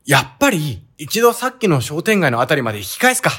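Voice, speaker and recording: An actor playing a laid-back young monster-spotting enthusiast who explains things matter-of-factly in a comic radio drama. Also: male voice, a little high, very animated, a little fast, fairly bright tone, husky, heavily acted. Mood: unsure. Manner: casual, matter-of-fact explaining.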